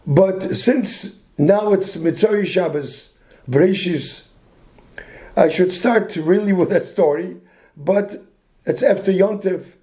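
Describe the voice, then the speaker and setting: Older rabbi with a hoarse voice, fairly high pitched but dark and mellow, rambling through stories and restarting a lot. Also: male voice, slow. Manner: meandering, many restarts, mixes Yiddish and Hebrew terms. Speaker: rabbi giving a weekly talk. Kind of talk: informal Torah talk with stories.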